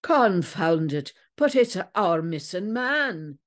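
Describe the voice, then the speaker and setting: An incredulous deputy , acted out by a woman reader. He is a gruff storybook lawman who exclaims in disbelief at what he finds. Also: lowish voice, sing-song, slow, voice pushed up.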